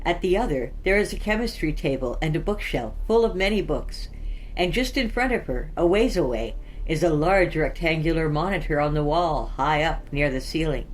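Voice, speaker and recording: Very low-voiced woman narrating fiction. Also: lively intonation, normal speed, a little hiss or hum, poor audio. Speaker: podcast host and story narrator. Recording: faint music behind. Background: music.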